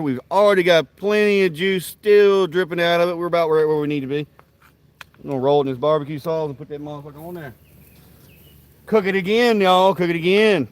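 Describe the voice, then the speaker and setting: A man with a slightly high voice talks loosely to his live-stream viewers from outdoors at a backyard grill. His banter is folksy and rambling, full of cussing and rowdy trash talk aimed at the chat. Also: dry recording. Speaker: livestream host.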